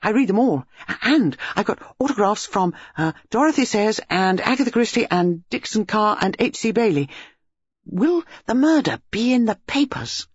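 A man's voice: high voice, big pitch swings, normal speed, breathy edge, no room sound, voice pushed up, exaggerated voice. A man doing an eager, stammering nine-year-old boy. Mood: excited. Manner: eager, stammering, breathless chatter. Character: excited talkative boy about nine. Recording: dull, dry lo-fi recording.

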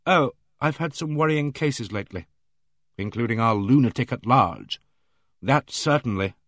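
A man's voice: mid-range voice, expressive, moderate pace, fairly bright tone, dry recording, studio quality. A man voicing a tired, worried doctor who speaks vaguely and hesitantly. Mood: weary.

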